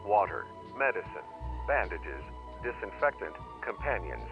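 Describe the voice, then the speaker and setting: A man playing a grave, formal narrator of an analog horror survival tape, measured and solemn. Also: deep voice, very animated, heavily acted. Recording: muffled old tape, music underneath. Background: music.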